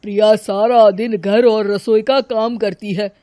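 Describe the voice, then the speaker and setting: A woman's voice: medium pitch, steady tone, unhurried, dark tone, no echo, studio quality, lightly acted. A woman voicing an indignant young man, a complaining son in a cartoon family story, with a firm manner.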